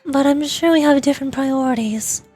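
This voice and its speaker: A woman's voice: sits high, moderate pace, a little mellow, soft background music, natural voice. A woman voicing earnest young heroes from a fantasy video game, reading their dialogue aloud in an amateur performance. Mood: earnest, serious.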